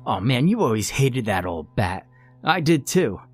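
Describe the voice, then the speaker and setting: A man's voice: mid-range voice, big pitch swings, unhurried, voice pushed up. A sinister shapeshifter in a horror story, played by a male narrator, speaks with a British accent over music. He is gleeful and theatrically chatty. He mocks his captive with smug ease. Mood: mocking.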